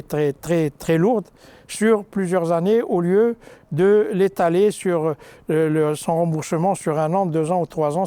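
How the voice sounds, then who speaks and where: Older former minister, interview recall, halting delivery, dry close recording. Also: male voice, high-pitched, dark tone, clean tone, dry recording. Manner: halting, many fillers and restarts. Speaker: former minister recounting his career. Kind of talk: interview, recounting his career.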